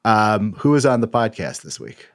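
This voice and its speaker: Male co-host, very low voice, dry deadpan banter. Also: moderate pace, no room sound. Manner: dry, joking. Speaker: podcast co-host. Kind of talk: casual podcast banter.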